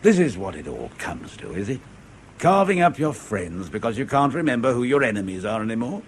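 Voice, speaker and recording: An actor playing a disillusioned middle-aged spy, bitter, sardonic and volatile, in radio drama style. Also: male voice, mid-range voice, sing-song, a little fast, a little hiss or hum. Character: embittered, disillusioned veteran British spy, cynical and cornered. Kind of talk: impassioned radio drama acting.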